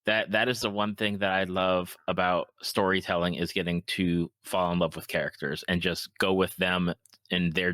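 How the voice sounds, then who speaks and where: A man's voice: sits low, a bit bright, no room sound. A man hosting a casual podcast chat, talking enthusiastically and repeating words for emphasis. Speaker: podcast host.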